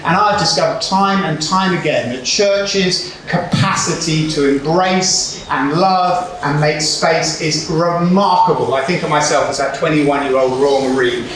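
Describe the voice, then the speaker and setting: A man's voice: on the high side, fairly bright tone, slight background noise. A man in his forties, native Hebrew speaker from Israel, giving a conference talk in a storytelling way with humour and rhetorical questions. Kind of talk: sermon-style talk. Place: large hall with audience. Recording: echoey hall, far from the mic.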